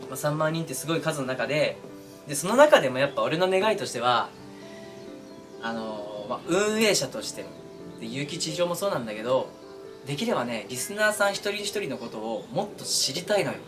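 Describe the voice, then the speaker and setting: A man speaking as a streamer and voice actor, chatting casually and earnestly to his fans in an intimate, pausing way. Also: a little high, sing-song, over music. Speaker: voice actor and streamer addressing listeners. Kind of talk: casual, heartfelt chat to fans. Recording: distant mic, clearly noisy background.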